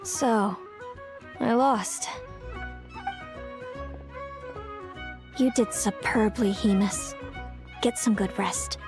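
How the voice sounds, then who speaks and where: A composed, gracious young woman in an anime-style game, a caring big sister. She speaks gently and measuredly in a fairly high voice, with faint music behind.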